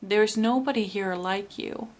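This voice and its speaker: Finnish lady doing a tarot pick a card, slow and searching, keeps rephrasing herself. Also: medium pitch. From Finland.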